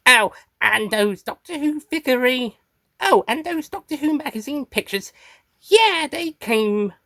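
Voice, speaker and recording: Squeaky lil alien critter, super high, cutesy but scheming, wheedly, swoops around, close dry sound. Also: female voice, animated, unhurried, pushed and bright, no echo, heavily acted. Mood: cheeky. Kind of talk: fan parody character voice recording.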